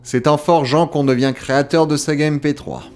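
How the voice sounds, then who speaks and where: A man's voice: on the high side, steady tone, normal speed, darkish tone, natural voice. A man playing a reassuring, drily teasing veteran employee showing a newcomer around, in a French audio comedy. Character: wry, reassuring male office guide, patient veteran employee.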